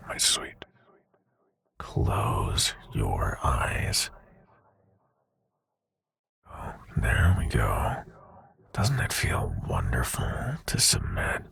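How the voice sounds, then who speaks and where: A sinister, seductive prince of nightmares murmurs in an extremely deep voice, heavily fried and gravelly. His delivery is slow, breathy and silky, with menacing command. It is an intimate ASMR roleplay performance. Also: fairly bright tone, full character voice. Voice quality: breathy, gravelly half-whisper.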